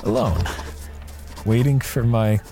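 A man's voice: mid-range voice, slow pace, over music, lightly acted. A man playing a lonely, awkward artist in a first-person audio drama, with a self-conscious manner. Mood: wistful narration. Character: lonely, awkward male artist narrating his story.

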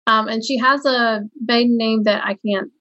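A woman's voice: a little high, fairly even intonation, normal speed, a bit bright, highs cut off. A woman co-hosting a writing podcast, introducing a guest and interviewing her with enthusiasm. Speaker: podcast co-host. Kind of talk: warm scripted intro then interview chat.

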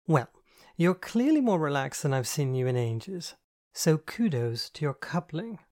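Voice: medium pitch, sing-song, a little slow, a little mellow, voice pushed up. A reader voicing a flamboyant, camp young man who speaks grandly and teases his friend, in an audiobook. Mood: teasing. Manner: grand, theatrical, teasing. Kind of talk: audiobook dialogue.

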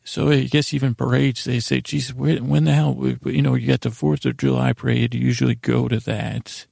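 An American man with a gravelly, raspy voice hosts a bedtime podcast in a rambling, improvised monologue, halting and stammering as he meanders. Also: medium pitch, level tone, a little mellow, dry recording, studio quality. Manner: meandering and halting, stammers and trails off mid-sentence. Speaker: host of a bedtime sleep podcast.

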